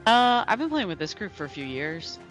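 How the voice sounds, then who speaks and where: A man's voice: sits high, very animated, brisk, a bit bright, faint music behind. A man in his thirties chatting casually with fellow players over a headset, rambling with lots of fillers. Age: 30s. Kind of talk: casual gaming chat.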